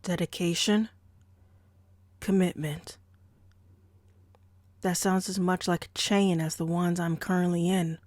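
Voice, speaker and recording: A woman playing a wary, defiant enslaved woman in an audio drama, speaking in a halting, old-fashioned formal way. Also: fairly low pitch, fairly even intonation, a little mellow, no room sound. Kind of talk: podcast audio drama acting. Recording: dry amateur home recording.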